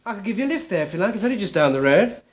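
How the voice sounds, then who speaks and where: A man's voice: high-pitched, sing-song, very fast. A man playing a courteous, helpful English police constable in a British TV sitcom. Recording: noisy old TV sitcom recording.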